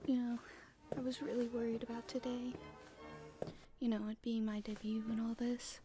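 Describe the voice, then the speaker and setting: A woman playing a nervous, polite young princess in an audio roleplay, speaking in a genteel, breathy way. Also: a little high, little pitch movement, moderate pace, over music, close to an everyday voice, poor audio. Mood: grateful, relieved. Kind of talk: roleplay ASMR acting.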